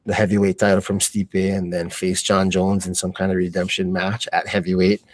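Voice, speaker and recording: A man giving casual, slangy live fight commentary, thinking aloud in a halting way. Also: deep voice, level tone, no room sound. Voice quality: slangy, informal speech.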